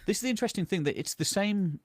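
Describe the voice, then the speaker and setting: A man's voice: high voice, lively intonation, quick pace, dry recording, clean studio sound. A man hosting a casual live history chat, reacting enthusiastically and chatting with his guest. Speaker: history channel host.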